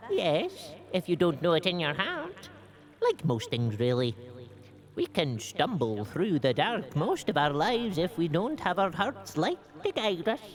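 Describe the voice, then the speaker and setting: A woman playing a gentle old male mushroom gatherer in a family audio drama, musing and hesitant. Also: on the low side, sing-song, measured pace. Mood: kindly, wise. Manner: musing, hesitant, humming to self.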